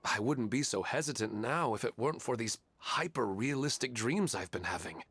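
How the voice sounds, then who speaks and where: Casting: sorrowful widowed father, mid-pitched, subdued and hesitant, game character. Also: lively intonation, normal speed, a bit bright, husky.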